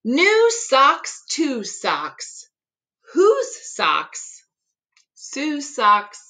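A middle-aged woman reading a children's picture book aloud expressively, articulating tongue twisters with deliberate care. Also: high-pitched, wildly swooping pitch, sharp tone. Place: living room at home.

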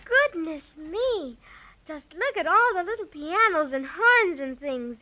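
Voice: extremely high pitch, animated, unhurried, no echo. A performer playing a polite, eager, kind little girl in a 1930s children's radio serial. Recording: muffled 1930s radio recording.

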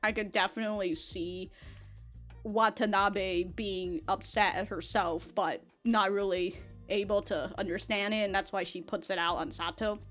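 A woman's voice: mid-range voice, fairly even intonation, normal speed, a bit bright, faint music behind. A young woman in her twenties hosting an anime reaction video, chatting casually, rambling with frequent laughs and swearing, close to a studio mic. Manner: rambling, laughs often, swears, repeats words. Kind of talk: casual review chat. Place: small room with anime backdrop. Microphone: large foam-covered studio mic in front.

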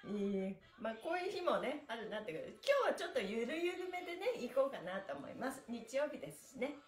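Lively, slightly hoarse middle-aged woman instructor. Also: on the high side, fast. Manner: cheerful, chatty, very polite wording. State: hoarse the morning after a performance and party. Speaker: stretching instructor and livestream host. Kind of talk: casual live exercise lesson with counted chants. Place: small bare-walled indoor room. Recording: roomy echo from camera distance.

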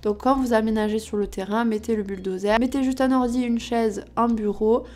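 A young woman talks through her game build in a loose, rambling way, full of little fillers. Her voice is hoarse and husky from illness. It sits a touch higher than most. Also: fairly even intonation, brisk, darkish tone. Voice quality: husky, cracked voice. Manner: chatty, thinking aloud with many fillers. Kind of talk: casual gameplay commentary.

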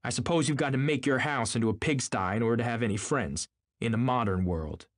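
A man's voice: mid-range voice, fairly quick, no echo, clean studio sound, pitched above the performer's own voice, lightly acted. Man acting a blustering, pompous, indignant husband. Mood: indignant, incredulous. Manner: pompous, incredulous, snapping. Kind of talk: audiobook character acting.

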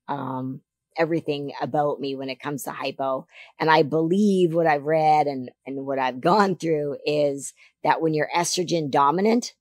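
Woman, forties, from Canada, deep low voice, emphatic animated chat, dry studio sound. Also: dry recording. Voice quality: deeper voice than she once had. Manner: emphatic, animated advice-giving. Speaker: podcast guest, figure competitor. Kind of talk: casual interview chat. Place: studio set on a sofa. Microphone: boom mic on a stand.

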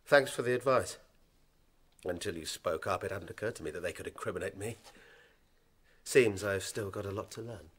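An actor playing a smug, cold-blooded young constable turned killer, with a British accent, in a radio drama. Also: male voice, low-pitched, normal speed, long pauses, a bit bright, breathy edge. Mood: cool, smug.

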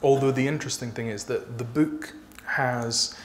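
A man in his thirties speaking haltingly as an author being interviewed on stage. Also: medium pitch, steady tone. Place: small dark stage before a seated audience. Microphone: lapel mic. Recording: noisy live event room recording.

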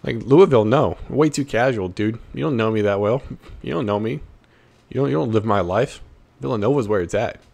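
A man's voice: fairly low pitch, fairly quick, vocal fry, a little mellow. A man hosting a gameplay video with casual, slangy commentary, repeating phrases and talking back to the game. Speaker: gaming video host.

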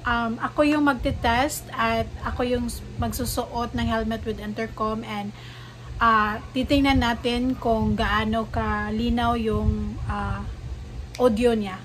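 A young Filipino woman with a fairly high voice talks casually to viewers as a vlogger. She is recorded in a small room at home, with faint noise behind her. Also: fairly even intonation, unhurried. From Philippines. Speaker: vlog co-host. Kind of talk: casual vlog chat mixing languages. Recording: noisy phone or camera recording.

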